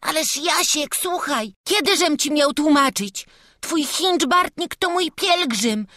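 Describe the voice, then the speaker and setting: A woman voicing an excitable young boy in an audiobook, scared but defiant, speaking in urgent exclamations. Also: high-pitched, takes it slowly, no echo, clean studio sound, voice pushed up, full character voice. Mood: agitated. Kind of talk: audiobook storytelling.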